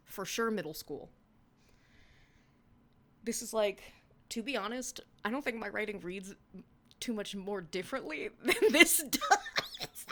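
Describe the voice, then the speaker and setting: A young woman reading her own old fan fiction aloud in a hushed, half-whispered voice, then chatting about it with giggly, self-mocking commentary. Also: mid-range voice. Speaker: podcast reader.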